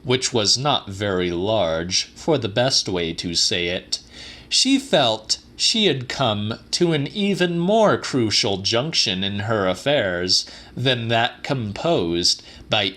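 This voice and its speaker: Slow, animated male audiobook narrator. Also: fairly low pitch. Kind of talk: dramatic literary narration.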